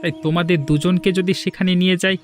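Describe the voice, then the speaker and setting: A man's voice: high-pitched, little pitch movement, fast talker, lightly acted. A man voicing a self-important, touchy grown son who works in the city, in a cartoon fairy tale. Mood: cheerful, nostalgic. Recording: music under the voice.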